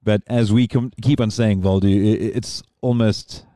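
A man's voice: on the low side, fairly even intonation, normal speed, warm tone, no echo, clean studio sound. A man hosting a podcast in casual chat, rambling and digressing as he talks. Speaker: podcast host.

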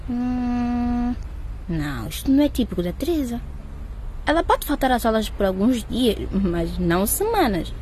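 Radio soap schoolgirl: high voice, caring, naive, anxious. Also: very animated, measured pace, darkish tone, a little hiss or hum. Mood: curious. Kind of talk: radio soap opera acting.

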